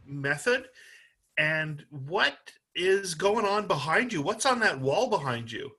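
A man hosting a podcast in a loose, rambling chat style, often letting his sentences trail off softly. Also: a little high, big pitch swings, takes it slowly, husky. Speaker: podcast host. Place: home setup in Northern Virginia.

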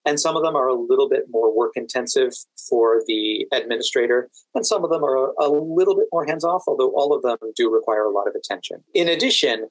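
A man answering interview questions in a measured, careful way, pausing mid-sentence as he explains. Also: mid-range voice, lively intonation, no room sound, rough recording. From United States, Washington DC area. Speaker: podcast guest, standardized patient and exam event administrator.

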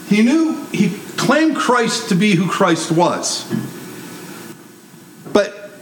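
A man with a high-pitched, big voice preaching a sermon. He talks in an animated, conversational way and jokes with his listeners. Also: a little hiss or hum, rough recording.

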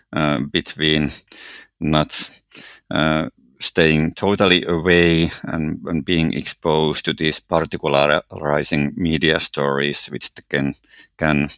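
A man from Finland co-hosting a podcast with a Finnish accent, speaking in a halting, thoughtful way that stumbles over words. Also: a bass voice, little pitch movement, a bit bright, dry recording. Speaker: podcast co-host. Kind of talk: reflective podcast conversation.

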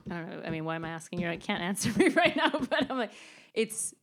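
A woman in her thirties with a raspy voice, hosting a casual chat at home and talking straight to her viewers in a giggly way. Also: mid-range voice, sing-song, quick pace, noisy background. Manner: giggly, warm, talks to viewers directly. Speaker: host. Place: home in Los Angeles, indoors.

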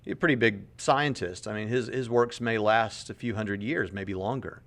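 A man's voice: fairly low pitch, moderate pace, fairly bright tone. A man in his late thirties, earnest and conversational, commenting on a podcast clip and often repeating words as he reasons aloud. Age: 30s. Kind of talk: apologetics commentary.

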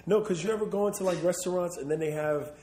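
A man's voice: high voice, fast talker, warm tone. A young man co-hosting a casual podcast, joking around, swearing, and teasing his co-host. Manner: joking, playful, swears and laughs. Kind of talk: casual banter. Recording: echoey room.

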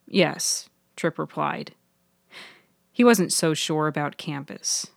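A woman reading scripted fiction as narrator, measured and articulate. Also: low voice, animated, gravelly, no echo, clean studio sound. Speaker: author and narrator.

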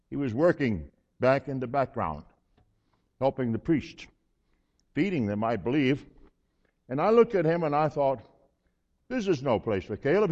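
A man's voice: mid-range voice, a little mellow, heavily acted. A man playing a jovial elderly priest who chuckles and fusses over his guest in a dramatic monologue. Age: older. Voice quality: chuckling, hearty and hospitable. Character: jovial elderly male priest hosting a friend. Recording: reverberant hall, slightly dull sound.

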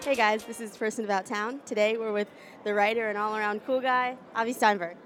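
A woman hosting her podcast on the move, playful and quick to laugh, interviewing a guest. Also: medium pitch, fairly even intonation, a bit bright, soft background music. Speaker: podcast host. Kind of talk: casual walking interview. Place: busy public building, indoors.

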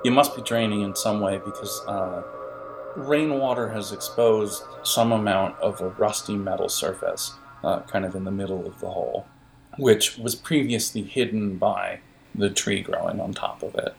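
Male game master, low register with vocal fry, conversational and halting improvised narration over faint music. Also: low-quality recording. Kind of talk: improvised narration of a tabletop adventure.

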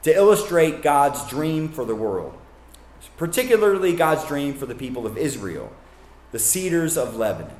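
Conversational preacher in an echoey room. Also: male voice, fairly high pitch, sing-song, moderate pace, a little mellow. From Kentucky. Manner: conversational, with asides and self-corrections. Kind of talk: sermon.

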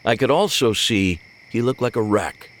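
A man's voice: mid-range voice, expressive, normal speed, noisy background. A man reading scripted first-person mystery narration with wry, dry humor.

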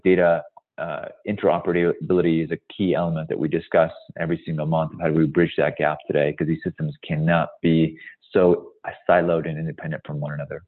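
A man from Hungary presenting on a webinar call, speaking emphatically and often stressing that things are absolutely critical. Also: sits low. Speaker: guest presenter, tech company executive. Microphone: video call. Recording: tinny phone-like call audio.

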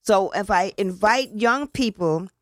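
A woman's voice: medium pitch, lively intonation, unhurried, dry recording, studio quality. A woman who organizes a community celebration, talking casually in an interview. She is earnest and rambling, restarts her sentences often, and drops in casual slang.

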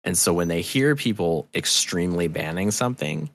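A man's voice: low voice, expressive, moderate pace. An American man chatting as a podcast guest, telling anecdotes in an emphatic, opinionated way with frequent swearing. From New Hampshire, United States. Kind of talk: casual, opinionated interview chat.

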